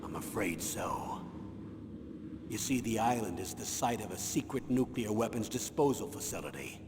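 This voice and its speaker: A man's voice: on the low side, animated, natural voice. Man acting a stern commander in a reverberant room. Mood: grave, measured. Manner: authoritative, measured, formal. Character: stern older male military commander giving a mission briefing. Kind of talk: dramatic video game voice acting. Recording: noisy, long reverberant hall sound.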